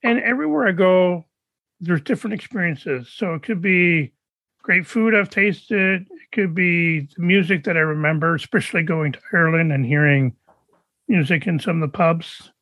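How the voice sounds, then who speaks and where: Gravelly man with a dry close sound. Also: high voice, moderate pace, no echo, dull audio. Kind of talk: casual interview chat.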